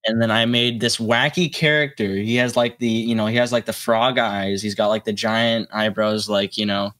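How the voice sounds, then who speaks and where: A young adult man chatting casually as an interview guest, with a halting, rambling delivery. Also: lowish voice, steady tone, fairly bright tone. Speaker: guest, solo electronic musician.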